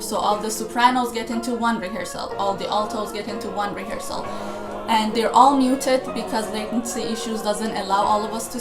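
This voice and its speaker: A young woman born in Iran speaks on a video call with a slightly high voice. She explains things earnestly and at a measured pace. The room around her gives a noticeable echo. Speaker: choir director and guest. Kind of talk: conversational interview.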